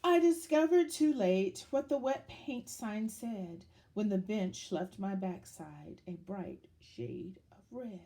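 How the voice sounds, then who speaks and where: A woman reading a children's picture book aloud in a dramatic, deliberate storytelling manner, as if to young listeners. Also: mid-range voice, lively intonation, warm tone.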